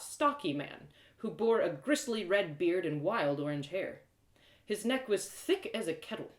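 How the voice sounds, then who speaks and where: A woman reading a story aloud as an expressive first-person narrator. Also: fairly low pitch. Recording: roomy, echoing live reading.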